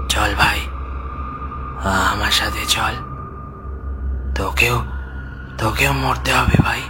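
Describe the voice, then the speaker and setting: A man voicing the mournful, menacing ghost of a murdered boy in a horror cartoon, low and breathy with slow, drawn-out, beckoning words. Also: close to an everyday voice. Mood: menacing, pleading.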